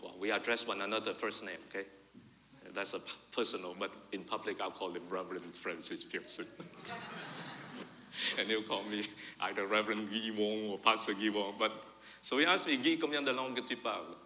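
A male pastor tells a story from the pulpit. His voice is very bright and forceful, and it rings out with a long church-hall reverb. He swings from loud emphasis to soft, confiding asides. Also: on the low side, fairly quick, slight background noise. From Vietnam. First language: Vietnamese. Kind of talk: sermon with personal story. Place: church sanctuary.

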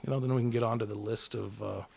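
Gravelly, teasing male podcast host. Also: on the low side, fairly even intonation, quick pace, darkish tone. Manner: loose, teasing, rambling with fillers. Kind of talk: casual crude chat.

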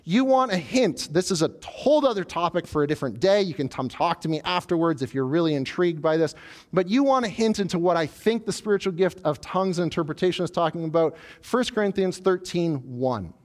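A man preaching a sermon as pastor, animated and lively, acting out the proud voices of people in the story he tells. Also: a little high, brisk. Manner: animated preaching, voicing the proud tower builders.

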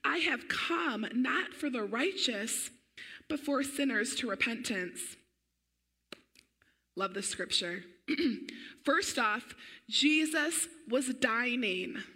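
A woman pastor preaching a sermon in an energetic, conversational way, her voice a little weak and often clearing her throat. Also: on the high side, takes it slowly, pauses often.

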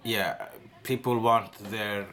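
A man from Iceland with an Icelandic accent, co-hosting a podcast in casual chat, with a dry, deadpan humor and halting restarts. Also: fairly low pitch, a little hiss or hum. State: recovering from a cold. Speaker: podcast co-host.

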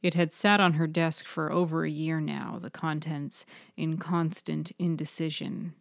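A woman narrates a serial fiction podcast in a slightly low register. Her phrasing is measured and deliberate, in a scripted literary style. The recording is very dry. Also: fairly even intonation, gravelly, no echo.

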